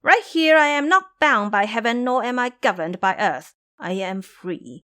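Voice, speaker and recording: A proud, hot-tempered monkey king from a fantasy audio drama, performed by a woman. He sounds boastful, playful and used to command. The pitch is raised and very lively. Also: measured pace. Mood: proud, defiant.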